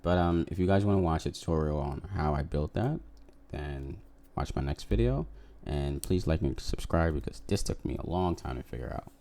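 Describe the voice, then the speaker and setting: A man who works as a software developer, talking casually to viewers as he gives a coding demo. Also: very deep voice, lively intonation, moderate pace, hardly any pauses. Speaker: software developer making a video.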